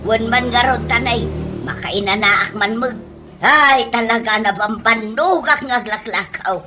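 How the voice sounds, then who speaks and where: An actress playing a brisk, bossy middle-aged mother selling fish in a comedy radio drama, with a Filipino accent. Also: a little high, over music, close to an everyday voice. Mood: grumbling.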